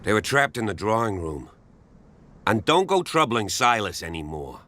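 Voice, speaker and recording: A gruff local policeman in a video game, with a low voice that cuts through brightly and forcefully. He is wary and protective of his townsfolk, speaking in measured, folksy phrases. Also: sing-song. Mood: defensive. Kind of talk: scripted game dialogue.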